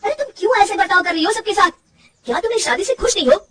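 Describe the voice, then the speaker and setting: A woman voicing a gentle, loving young husband who coaxes and pleads with his wife. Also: a little high, lively intonation, fast talker, far from the mic, lightly acted. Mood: pleading. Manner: coaxing, pleading.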